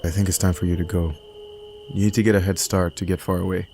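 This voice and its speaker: A man's voice: low-pitched, little pitch movement, quick pace, dark tone, a little hiss or hum. Audiobook drama: anxious, protective young man with a secret, acted by a man. Earnest and urgent.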